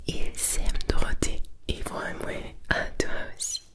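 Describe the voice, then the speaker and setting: A woman whispering as a kindly, curious good fairy in an ASMR fantasy roleplay, gentle and breathy, with halting, unhurried phrases. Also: natural voice. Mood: kindly, reassuring.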